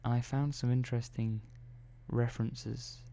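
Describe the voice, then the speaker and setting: A man playing a calm, methodical robot engineer in an ASMR roleplay, speaking softly and slowly with hesitant pauses. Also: medium pitch, creaky, a little hiss or hum, natural voice. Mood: confiding. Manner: soft-spoken, slow and hesitant, many pauses and "well"s.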